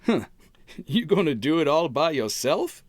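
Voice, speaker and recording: Man playing a slow, folksy bumbling radio-comedy husband. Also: fairly high pitch, very animated, no room sound, clean studio sound. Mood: stubborn. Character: Buddy, bumbling, stubborn husband in a domestic comedy. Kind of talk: old-time radio comedy acting.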